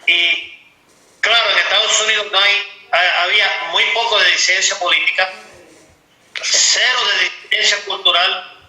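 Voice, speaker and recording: A man from the United States hosting an interview in Spanish, speaking in a halting way and repeating words. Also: sits high, fairly even intonation, sharp tone, roomy sound, poor audio. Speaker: interviewer, host. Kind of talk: interview questions.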